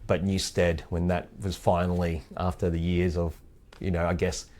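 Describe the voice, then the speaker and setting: A man from Thailand raised in Australia, speaking with an Australian accent as an interview guest, relaxed and laughing often. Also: low-pitched, normal speed. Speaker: guest, head of sales operations. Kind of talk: casual interview chat.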